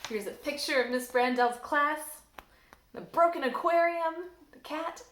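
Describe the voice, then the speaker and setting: A woman from a church staff reading a children's book aloud in an expressive, lively storytelling manner. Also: high voice, unhurried. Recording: roomy echo.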